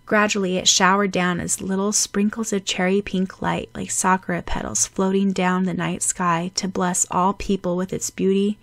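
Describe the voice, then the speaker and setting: Woman reading an audiobook story, dramatic and animated, dry close sound. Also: medium pitch, normal speed, creaky, hardly any pauses, dry recording. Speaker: amateur audiobook narrator. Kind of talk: expressive storybook narration of fan fiction.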